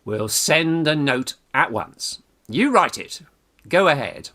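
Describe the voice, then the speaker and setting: An actor playing a brash, scheming young English undergraduate in a theatrical Victorian farce, exclamatory and bossy, with jolly old-fashioned slang. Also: male voice, a little high, very animated, takes it slowly, sharp tone, exaggerated voice. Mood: bossy, hurried. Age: young adult. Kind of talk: theatrical radio farce acting.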